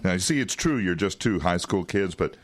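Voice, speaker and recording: An actor playing a wry, quietly menacing intelligence agent in an audio drama, talking in an insinuating, condescending way. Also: male voice, low voice, lively intonation, a little fast. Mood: pressuring, cajoling. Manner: insinuating, condescending, with chuckles and hesitations.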